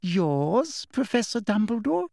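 A man voicing a sweetly menacing middle-aged woman official who speaks softly with a shrill edge. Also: very animated, normal speed, dry recording, clean studio sound, full character voice. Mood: icily polite. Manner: soft, deadly, with a shrill edge.

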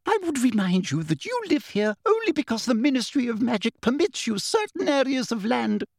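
A man voicing a pompous, frightened and indignant woman official in a storybook, high-pitched and officious. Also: very animated, normal speed, gravelly, no room sound, clean studio sound, full character voice. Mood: indignant, shrieking. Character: pompous, terrified, indignant female government official. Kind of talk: audiobook character voice.

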